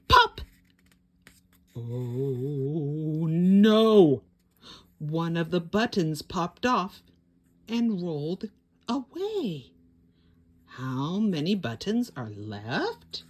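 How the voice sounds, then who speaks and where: A woman hosting a children's story time, reading a picture book aloud in a theatrical, deliberate way with exclamations. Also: sits low, sing-song. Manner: slow, deliberate phrasing for young children. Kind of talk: animated read-aloud picture book storytelling with sung refrains.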